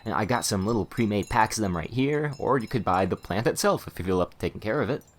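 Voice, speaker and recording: A man's voice: lowish voice, animated, fast. A man playing a talkative, easily flustered male witch shopkeeper in an ASMR roleplay, rambling and stammering as he eagerly explains things. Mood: enthusiastic, rambling.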